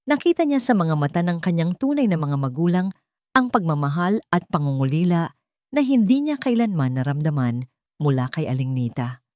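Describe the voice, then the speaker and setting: A Filipino woman narrates a scripted love story in a dramatic style. Her voice sits low and moves with lively, animated melody. The sound is dry and close. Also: normal speed, no room sound.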